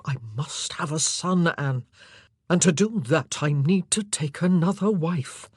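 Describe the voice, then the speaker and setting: A narrator voicing an anguished, impassioned and forceful male monarch in an audiobook drama. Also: mid-range voice, a little slow, breathy edge, no room sound, clean studio sound. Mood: forceful, fearful.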